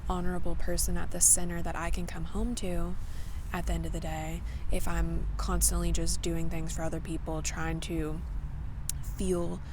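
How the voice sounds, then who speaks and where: A woman's voice: lowish voice, level tone, moderate pace. A woman speaking in a casual, reflective monologue as a vlogger, candid and rambling with lots of "like". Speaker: vlogger and podcaster. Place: outdoors in a cemetery. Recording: noisy outdoor ambience, traffic hum.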